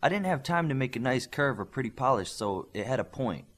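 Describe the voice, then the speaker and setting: A man's voice: mid-range voice, animated, a little fast, fairly bright tone. A man voicing an eager young boy superhero who builds things, in an audiobook. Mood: matter-of-fact.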